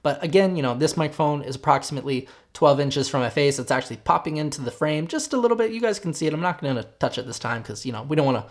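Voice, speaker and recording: Young male reviewer, fairly high voice, relaxed and talky, throws in playful asides. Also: quick pace, hardly any pauses. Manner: chatty, joking asides to viewers. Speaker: tech reviewer, channel host. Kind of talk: casual product review to camera.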